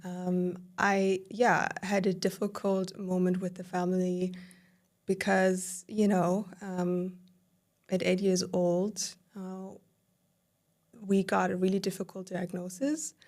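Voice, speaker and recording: A woman in her thirties from southern Germany with a German accent, a podcast guest recounting her life in a warm, filler-heavy way. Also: on the low side, little pitch movement, slow pace. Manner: warm, storytelling with many fillers. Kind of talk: casual interview conversation.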